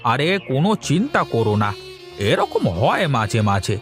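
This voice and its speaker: A man voicing a village neighbour in a cartoon, brisk and reassuring, brushing worries aside. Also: mid-range voice, huge pitch swings, faint music behind. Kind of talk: cartoon dialogue.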